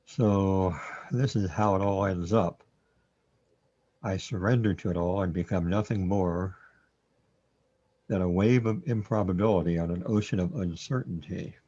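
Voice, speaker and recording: A resigned, bewildered hero stuck in the afterlife, played by a man in a sci-fi radio play. The voice is husky and fairly low, and the sound is slightly muffled. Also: measured pace, pauses often, dark tone. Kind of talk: scripted sci-fi radio drama.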